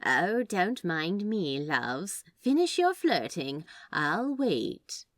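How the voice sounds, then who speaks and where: A woman voicing a sly, knowing female customer with an unhurried, teasing manner in a read-aloud story. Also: lowish voice, sing-song, no echo, exaggerated voice. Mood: amused.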